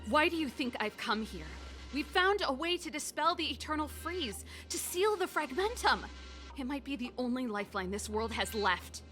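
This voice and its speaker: A principled young rebel and elder sister in a dramatic video game. Her voice is a little high, earnest and resolute with a pleading edge, and music plays clearly behind it. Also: big pitch swings, moderate pace, sharp tone, full character voice.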